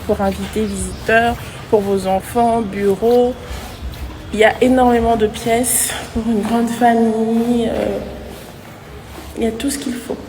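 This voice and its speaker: A woman chatting casually to her vlog audience, telling everyday stories in an animated, chatty way. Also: fairly high pitch, noisy background. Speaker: vlogger and real estate agent. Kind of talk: casual vlog chat and storytelling.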